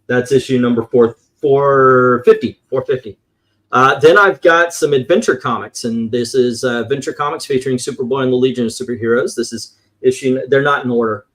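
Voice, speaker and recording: A man with a mid-pitched voice talks casually as a host showing off a collection. He rambles in a loose, conversational way and swears now and then. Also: fairly bright tone. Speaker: comic book collector and show host. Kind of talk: casual show-and-tell commentary on vintage comics.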